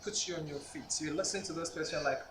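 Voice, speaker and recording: A man with a somewhat high voice, asking probing and skeptical questions as an interviewer. He sounds as if he is in an echoey room with plenty of background noise. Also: fast talker.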